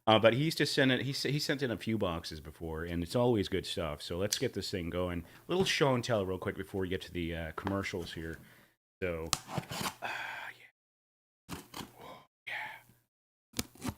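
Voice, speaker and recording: A man hosting a casual livestream, slightly hoarse, rambling and warmly thanking viewers for tips. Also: expressive, measured pace, long pauses, slight background noise. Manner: rambling, thanks tippers, breaks into silly high voices. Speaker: livestream host.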